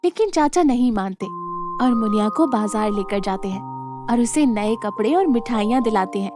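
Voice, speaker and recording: A woman's voice: a little high, expressive, moderate pace, over music. A woman narrating a scripted children's moral story as the storyteller.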